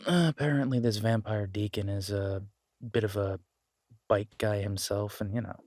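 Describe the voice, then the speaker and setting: Man playing an easygoing, low-voiced werewolf boyfriend. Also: steady tone, normal speed, darkish tone, no room sound, clean studio sound, natural voice. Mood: sheepish, affectionate. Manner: casual, hesitant, rambling. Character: easygoing male werewolf biker, affectionate, bashful partner. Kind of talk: intimate roleplay audio drama, one-sided conversation.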